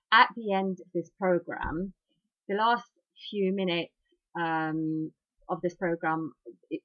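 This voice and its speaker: A woman's voice: on the low side, no echo. A woman hosting a talk program, reading history with chatty asides and many fillers. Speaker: radio host. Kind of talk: informal talk on iridology history. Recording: dry, dull lo-fi sound.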